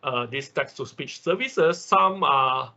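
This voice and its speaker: A man's voice: mid-range voice, animated, slow pace, pushed and bright, muffled recording. A man teaching an informal online technical class as a non-native English speaker, explaining step by step, repeating himself and checking in with listeners. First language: not English. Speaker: instructor of an online robotics class.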